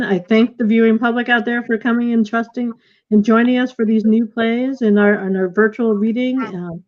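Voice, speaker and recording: A woman casually moderating a live online session as host, speaking informally and a little haltingly over a lo-fi video call. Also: mid-range voice. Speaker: host of a virtual theater question-and-answer session. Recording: muffled, lo-fi video call audio.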